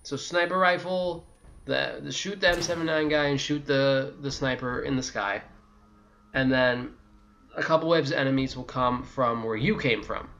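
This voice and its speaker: A man's voice: medium pitch, fairly even intonation, moderate pace, creaky, fairly bright tone, a little hiss or hum. A man giving a casual, sweary, exasperated video game walkthrough commentary.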